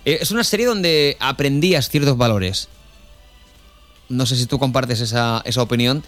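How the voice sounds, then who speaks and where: A man's voice: medium pitch, normal speed, fairly bright tone. A man with an accent from Spain hosting a radio show, chatting casually with frequent tag questions and sometimes reading scripted narration. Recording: music under the voice. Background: music bed.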